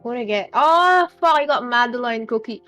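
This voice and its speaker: A woman with a slightly high voice keeps up casual, sweary chatter while gaming. She grumbles playfully to herself and a friend, over faint music. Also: animated, moderate pace, bright, forceful tone. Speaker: streamer's companion playing a game.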